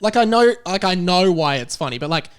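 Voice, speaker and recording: A man's voice: sits high, expressive, brisk, smooth. A young man hosting a comedy podcast, chatting casually and explaining silly details with mock earnestness. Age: young adult. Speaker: podcast host. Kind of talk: casual comic chat.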